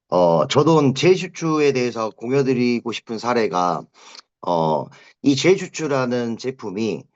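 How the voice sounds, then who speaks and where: A man in his thirties gives an informal spoken testimonial to a group, speaking haltingly with drawn-out pauses. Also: on the low side. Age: 30s. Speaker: business owner giving a product testimonial. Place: online video meeting. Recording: dull, lo-fi online meeting audio.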